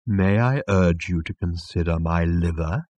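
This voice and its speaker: A man voicing a large, fat, obliging talking cow in an audiobook, with a contented, deferential, lowing delivery. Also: a little slow, a little mellow, no room sound. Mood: earnest, obliging. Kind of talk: audiobook comic science fiction character.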